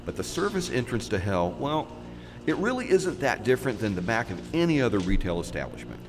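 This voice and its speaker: A man's voice: lowish voice, wildly swooping pitch, brisk, big-room sound, music in the background. A man acting in a radio play as a genial, mischievous guide with a folksy, wry, conversational manner. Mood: matter-of-fact, wry. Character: genial, mischievous man guiding visitor into hell.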